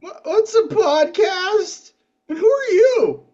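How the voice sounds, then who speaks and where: Audio drama role, woman playing: polite and amazed, high, slow delivery, muffled lo-fi sound. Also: expressive, mellow, full character voice. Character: wide-eyed, puzzled, polite woman. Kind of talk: audio play acting. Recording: dull, distant lo-fi sound.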